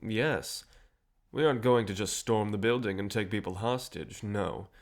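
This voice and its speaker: An actor playing a cheerful, self-assured, century-old vampire rebel leader in an audio drama, courteous and persuasive with a theatrical manner. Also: male voice, lowish voice, moderate pace, close to an everyday voice. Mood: determined, persuasive. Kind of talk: scripted audio drama acting.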